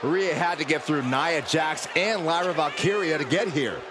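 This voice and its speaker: An actor playing an eager wrestling broadcast commentator in a video game. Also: male voice, fairly high pitch, moderate pace, natural voice. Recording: loud arena crowd and noise.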